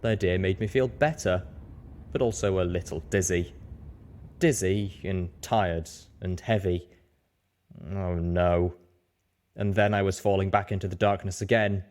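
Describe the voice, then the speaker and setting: A man's voice: deep voice, steady tone, unhurried, long pauses, darkish tone. A man reading scripted first-person fiction as the narrator of an audio story.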